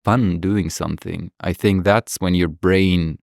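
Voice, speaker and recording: A man's voice: low-pitched, steady tone, a little slow, vocal fry, a little mellow, dry recording, clean studio sound. A man from Germany, a native German speaker, talking casually and earnestly as a podcast guest.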